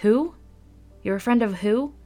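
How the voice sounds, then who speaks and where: A woman voicing a brusque adult woman roused by a late-night phone call, curt and suspicious, with a raised pitch. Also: unhurried, faint music behind, close to an everyday voice. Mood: suspicious, snappish. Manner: sharp and suspicious, then sweetly friendly. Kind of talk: audiobook character voice.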